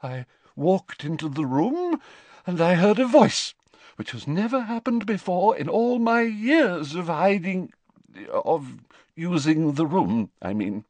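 Male reader putting on a lofty dramatic witch-seer, high wavering voice, dry studio sound. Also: very animated, slow pace, a little mellow, no room sound, exaggerated voice. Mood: haughty mystic. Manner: theatrical, mystical, swooping high and wavering. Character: eccentric haughty middle-aged woman seer, dramatic.

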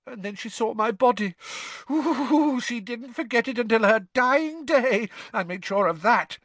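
A man voicing a glum, touchy teenage girl ghost in a storybook, with a shrill, wailing, self-pitying delivery. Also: expressive, a bit bright, no room sound, exaggerated voice. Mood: aggrieved, self-pitying. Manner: wailing, drawn-out, mournful delivery.

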